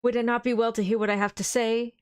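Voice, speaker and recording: A woman's voice: on the high side, little pitch movement, quick pace, clear, resonant tone, dry recording, studio quality, voice pushed up, natural voice. A woman voicing a grave elderly foreign professor in a read-aloud novel, speaking formally, sternly and earnestly.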